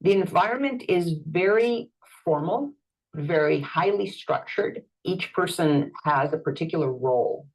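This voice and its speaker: Husky low-voiced Salvadoran woman, explaining. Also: animated, a little slow, poor audio. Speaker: court interpreter. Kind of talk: documentary interview narration.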